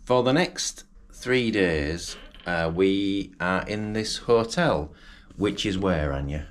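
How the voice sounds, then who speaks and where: A man's voice: sits low, expressive, slow pace. A man chatting casually in a travel vlog, joking about himself and laughing often. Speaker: travel vlogger. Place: hotel room and outdoors.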